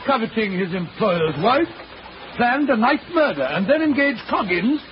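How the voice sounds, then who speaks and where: An actor playing Doctor Watson as a genial, bumbling older English gentleman, hesitant and avuncular, in 1940s radio drama style. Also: very high voice, sing-song, normal speed. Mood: relieved, weary. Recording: muffled, noisy 1940s radio recording.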